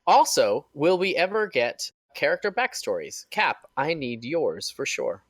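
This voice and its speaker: A man's voice: on the high side, sing-song, a little slow, no room sound, clean studio sound. A man co-hosting a podcast, casually reading out questions sent in by listeners.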